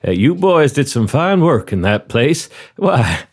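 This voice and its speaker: A man's voice: mid-range voice, big pitch swings, unhurried. A man voicing a courteous, genial commander conducting an interview in a comedy role-play. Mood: official, approving. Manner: genial, formal, folksy politeness.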